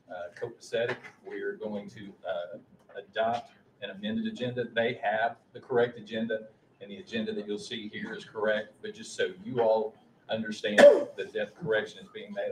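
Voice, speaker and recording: Distant man presenting in a roomy meeting space. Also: fairly low pitch. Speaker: school official presenting staff and student awards. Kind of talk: formal announcements, reading tributes aloud. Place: large meeting room, indoors. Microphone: distant room microphone. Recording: roomy, distant mic, faint noise.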